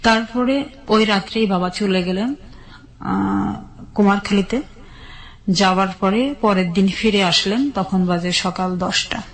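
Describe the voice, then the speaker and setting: A woman with a Bangladeshi accent tells her personal story in an interview, measured and step by step in short phrases. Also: medium pitch, poor audio. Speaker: guest telling her life story.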